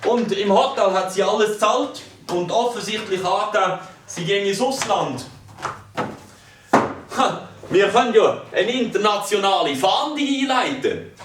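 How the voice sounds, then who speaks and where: A man's voice: high-pitched, expressive, normal speed. A man playing a sarcastic Swiss policeman. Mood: dismissive. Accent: Swiss German. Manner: brusque, mocking. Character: dismissive, sarcastic male police officer. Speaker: police officer. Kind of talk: children's radio play acting. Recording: roomy, distant, noisy recording.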